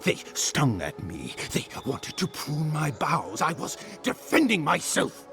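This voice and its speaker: A deranged, menacing madman in a fantasy video game. He declaims slowly and deliriously, his sharp, high-set voice swinging wildly in pitch. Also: huge pitch swings, soft background music, full character voice. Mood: wounded, menacing. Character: deranged plague-maddened male figure, grandiose and menacing. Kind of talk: video game dialogue acting.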